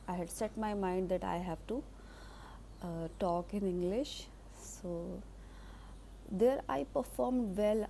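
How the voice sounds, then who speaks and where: A woman with an Indian accent, Hindi her first language, giving an unscripted English lesson in a halting way, with many fillers and restarts. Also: medium pitch, dark tone, a little hiss or hum. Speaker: English teacher.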